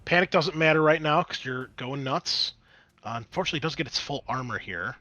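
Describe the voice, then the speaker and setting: A man narrating and refereeing a tabletop roleplaying game as game master, in an informal, excitable way. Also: a little high, fairly quick, dry recording, highs cut off.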